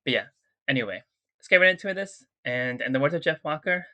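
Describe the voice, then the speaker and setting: A man's voice: a little high, lively intonation, brisk, pushed and bright, highs cut off. A man talking casually as a guitarist reacting to music, sounding nasal and congested from being sick, eager and chatty about riffs. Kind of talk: casual chat.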